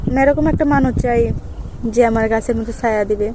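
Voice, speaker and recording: Young woman, high pitch, plain short interview answers, clear outdoor animal and vehicle noise. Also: fast talker. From Jamalpur, Bangladesh. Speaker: interview guest. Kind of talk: simple personal account, short answers.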